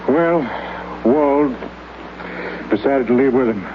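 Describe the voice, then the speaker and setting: A man's voice: medium pitch, slow, noisy background, natural voice. A man playing a world-weary old fat hero. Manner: hard-boiled, wry narration. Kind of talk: 1950s radio drama narration. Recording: muffled old radio broadcast with music.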